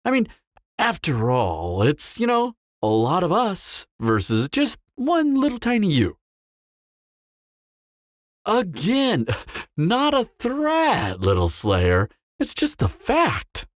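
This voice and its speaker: A man's voice: sits high, huge pitch swings, dry recording, clean studio sound, full character voice. Man acting a smug, swooping flirtatious vampire. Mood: teasing. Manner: teasing, smug drawl with dramatic pauses. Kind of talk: scripted audio roleplay, intimate character acting.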